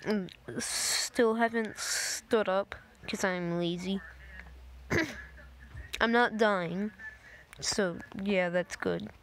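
A young woman casually commenting on a driving game, voice a little raspy from just waking up, rambling in a playful way. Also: mid-range voice, very slow, pauses often, slight background noise, poor audio. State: slightly raspy, just woken up. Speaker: gameplay video maker. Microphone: phone screen recorder.

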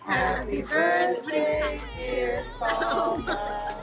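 Playful young woman, high and lively. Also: very slow. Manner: playful, singing to herself. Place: restaurant, indoors. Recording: loud music behind.

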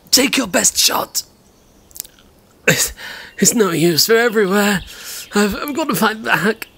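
A man playing a sickly, desperate invisible hunter losing his mind in an audio drama, halting and fraught. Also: extremely high pitch, fairly bright tone, exaggerated voice. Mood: menacing, desperate. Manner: halting, fraught, fragmented delivery.

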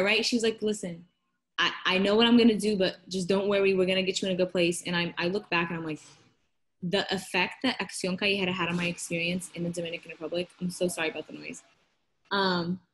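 A woman's voice: on the low side, fairly bright tone, poor audio. A young woman from Florida, a university student, chatting on a video call and retelling events with quoted asides. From Florida, United States. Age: young adult. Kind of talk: casual video call chat. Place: indoor living room. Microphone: laptop webcam on a video call.

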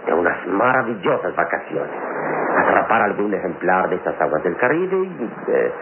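An actor playing a calm, wise hero in a vintage radio drama, measured and fatherly, with amusement in his manner. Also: low-pitched. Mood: calm, reflective. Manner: measured, theatrical, chuckling. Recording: tinny, noisy old radio recording.

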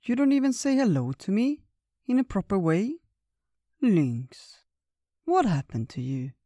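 A wise old bear from a storybook , as a man puts him on, gentle and unhurried. He speaks slowly and warmly, like a grandfather telling tales. The recording is dry and close. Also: high voice, sing-song, no room sound, pitched above the performer's own voice, full character voice. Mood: kindly. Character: kindly wise old male bear, slow-spoken.

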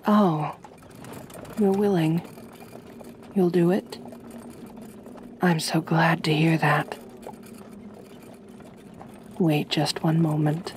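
A woman voicing a gentle, possessive aristocratic vampire mistress in an ASMR roleplay, soft-spoken, formal and soothing. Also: sits low, flat delivery, drawn-out words, pauses often, a little hiss or hum. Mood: gently coaxing.

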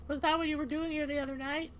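Man doing a squeaky, anxious young woman. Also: little pitch movement, fast talker, a bit bright, slight background noise. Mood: uneasy, questioning. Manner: squeaky falsetto, horrified.

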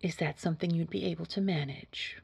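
A woman playing a poised, sinister boss in an audio roleplay, speaking formally in a hushed, husky, measured and quietly controlling way. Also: fairly low pitch, steady tone, dry recording, full character voice. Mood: businesslike. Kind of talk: scripted audio roleplay acting.

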